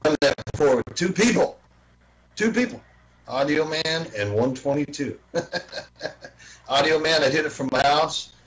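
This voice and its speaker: A man's voice: fairly high pitch, measured pace, pauses often, fairly bright tone, low-quality recording. A man chatting informally on an online group call as a radio hobbyist, rambling and repeating himself. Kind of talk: casual chat.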